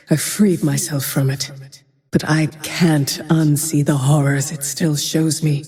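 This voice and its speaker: Grim female avenger archer for a fantasy game: slow, dark-toned, merciless and composed, in long reverb. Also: low voice. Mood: brooding, haunted. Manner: cold, brooding, measured, often dropping to a breathy whisper. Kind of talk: dramatic video game voice lines.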